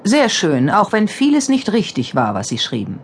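A woman's voice: mid-range voice, huge pitch swings, moderate pace, breathy edge. A woman playing a courteous, reserved and educated German lady of the 1910s, giving composed, formal replies in an audio drama. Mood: composed, interested.

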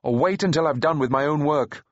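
A man's voice: a little high, fairly quick, dry recording, lo-fi sound, pitched above the performer's own voice, lightly acted. A man voicing a proud, hot-tempered teenage farm boy in a storybook, indignant and defiant. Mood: irritated.

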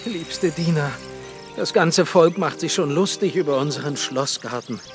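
A man's voice: a little high, moderate pace, loud music behind the voice, lightly acted. An actor playing a doting, exasperated king and father in a children's audiobook, coaxing and hesitant. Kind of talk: children's audiobook drama acting.

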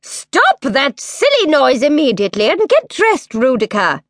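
A woman's voice: sits high, huge pitch swings, measured pace, dry recording, pitched above the performer's own voice, exaggerated voice. Storybook queen mum: woman voicing a prim, scolding mother, firm and raised, dry close sound. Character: stern, proper mother queen.

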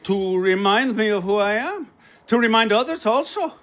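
A restless, idealistic European aristocrat in exile in a radio play. His voice sits high and swings widely in pitch. He speaks with theatrical pride, yet stumbles and hesitates. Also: expressive, normal speed, fairly bright tone. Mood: proud, restless. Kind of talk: audio drama stage acting.

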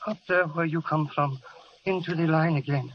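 Frightened Italian deserter, male actor, high pleading voice, dull vintage radio sound. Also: moderate pace, natural voice. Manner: pleading and desperate. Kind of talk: 1930s radio drama acting. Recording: muffled, noisy old radio recording.

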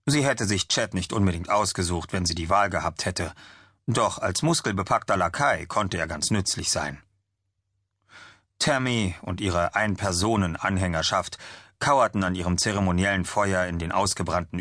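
A man's voice: sits low, a bit bright, dry recording, studio quality. A man reading a fantasy novel aloud as an audiobook narrator.

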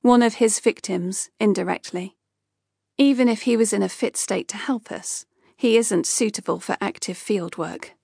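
An earnest, dutiful investigator, voiced by a woman, explaining things in a measured way. His voice carries a gravelly texture in a dry, close recording. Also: medium pitch, warm tone, no echo.

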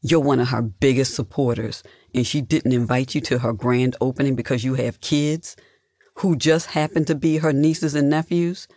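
A narrator playing a protective, indignant husband in an audiobook. He speaks bluntly and emphatically at a middle pitch, with a husky edge. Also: fairly even intonation, moderate pace, a little mellow, dry recording, clean studio sound, lightly acted. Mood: bitter, frustrated.

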